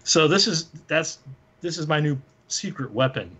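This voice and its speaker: A man hosting a casual show-and-tell, thinking aloud in a halting way. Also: a little high, animated, sharp tone, slight background noise. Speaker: panel host, synth musician.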